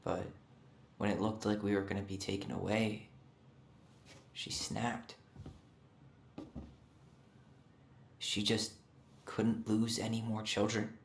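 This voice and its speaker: A man's voice: lowish voice, little pitch movement, unhurried, vocal fry, pauses often, roomy sound, a little hiss or hum. An actor playing a pensive, guarded young man in a video game, speaking in a subdued, hesitant, reflective way. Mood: reflective, sad. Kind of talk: naturalistic game cutscene dialogue.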